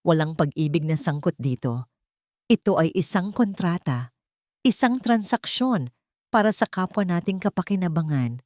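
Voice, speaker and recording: A woman's voice: on the low side, animated, moderate pace, darkish tone, no echo, studio quality, lightly acted. A woman with a Filipino accent voicing a cold, brooding rich man in a romance story, blunt and businesslike. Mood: firm, merciless.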